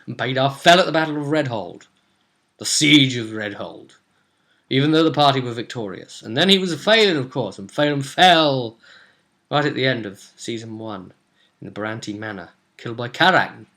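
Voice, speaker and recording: A young adult man casually recapping a tabletop game session as its game master, in a wry, animated, digressive way, recorded at a desk in a home room. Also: mid-range voice, normal speed. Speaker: tabletop role-play game master and vidcast host. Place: small indoor room at home.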